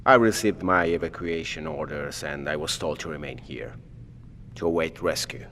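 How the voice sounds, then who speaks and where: An actor playing a nervous, wary scientist in an audio drama, speaking in hesitant, precise, educated phrases. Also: male voice, a bass voice, normal speed, creaky, sharp tone, a little hiss or hum, exaggerated voice. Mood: anxious, subdued. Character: nervous blind male scientist, formal and wary, stranded alone. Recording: long echoey reverb of an empty hall.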